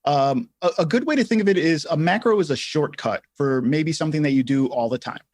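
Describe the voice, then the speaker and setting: A man co-hosting a livestream, giving casual tutorial explanations in halting phrases with some repeats. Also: fairly high pitch, a little mellow. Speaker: livestream co-host.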